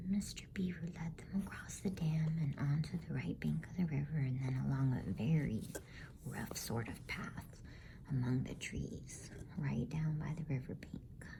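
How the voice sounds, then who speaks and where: A woman reading a bedtime story in a hushed, breathy ASMR whisper. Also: sits low, a little slow. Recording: faint background noise.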